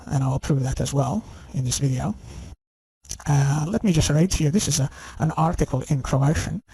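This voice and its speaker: A man's voice: medium pitch, gravelly, darkish tone, husky, no room sound, low-quality recording. A man delivering a rambling, scornful rant to his viewers, full of "you know" and "okay?". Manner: scornful and insistent. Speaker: channel host. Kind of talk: ranting monologue to camera.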